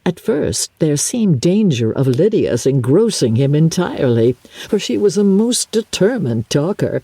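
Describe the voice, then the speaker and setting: A woman giving a dramatic, theatrical reading of classic literature, phrasing deliberately and leaning on key words. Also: sits low, big pitch swings, warm tone, husky, no echo. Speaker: audiobook narrator, radio host and actress. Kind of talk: dramatic scripted narration of a classic novel.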